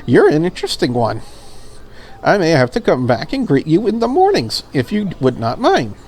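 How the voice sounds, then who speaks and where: A man's voice: a little high, wildly swooping pitch, moderate pace, darkish tone. A man voicing a polite, curious, slightly lonely maid in a visual novel, with formal and prim phrasing. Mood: gently chiding.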